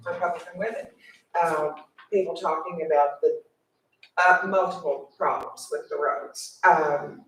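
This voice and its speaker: A woman speaking seated at a county meeting, chatty and rambling as she describes road problems. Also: lowish voice. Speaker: resident speaking at public comment. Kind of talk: conversational public comment. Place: county commissioners court meeting room. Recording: echoey room from far off.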